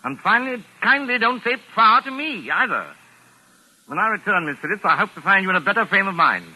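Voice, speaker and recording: An actor playing a pompous, touchy mystery novelist with precise, exasperated formal diction, in 1940s radio drama style. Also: male voice, high voice, expressive, brisk, pushed and bright, exaggerated voice, rough recording. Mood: indignant. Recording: raspy vintage 1940s radio recording.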